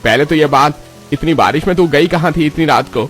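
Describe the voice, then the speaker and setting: A man playing a kindly elderly village priest in a dramatized moral story, speaking in a fatherly, grave and expressive way. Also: on the high side, a little fast, faint music behind, natural voice. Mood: puzzled, concerned.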